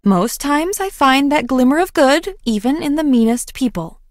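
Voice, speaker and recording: A woman's voice: high-pitched, animated, moderate pace, no room sound, clean studio sound. An actress in a video game playing a guarded, plainspoken young woman scientist from a farm, with homespun turns of phrase. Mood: wry, frank.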